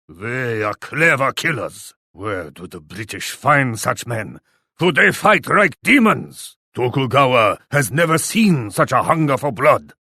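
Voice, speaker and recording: An actor playing a proud, commanding Japanese warlord in a video game, speaking in a haughty, formal, declarative way. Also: male voice, fairly high pitch, vocal fry, a bit bright, no echo, studio quality, exaggerated voice. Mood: grim, alarmed. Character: proud, imperious Japanese warlord who speaks of himself grandly. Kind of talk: dramatic video game voice acting.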